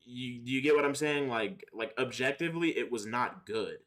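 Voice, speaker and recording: A man's voice: mid-range voice, normal speed, fairly bright tone. A young man chatting casually on a livestream, answering viewers in slangy, profane, opinionated talk. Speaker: live streamer.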